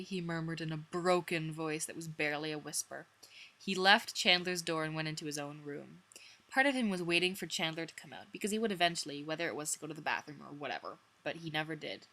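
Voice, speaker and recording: Woman, bright sharp voice, dramatic story narration, wry asides, self-deprecating. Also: low voice. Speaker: reader of own fanfiction.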